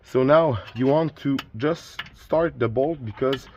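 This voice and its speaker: A man's voice: mid-range voice, takes it slowly, lo-fi sound, a little hiss or hum. Man with a French first language, explaining car repair. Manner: plain, instructive, with frequent restarts and filler. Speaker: DIY car repair channel host. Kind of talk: casual how-to narration while working.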